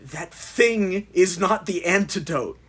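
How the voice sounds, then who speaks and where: A man's voice: high voice, expressive, slow, a bit bright, heavily acted. A man playing an anguished, defiant young man in a horror audio drama, speaking with emotional intensity. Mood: angry. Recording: echoey, with music behind.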